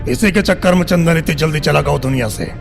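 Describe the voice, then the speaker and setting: A man playing an abusive, furious middle-aged family patriarch in an audio drama, insulting and threatening with a gravelly voice. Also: fairly high pitch, quick pace. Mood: furious, contemptuous. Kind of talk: Hindi audio drama acting. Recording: music bed under the voice.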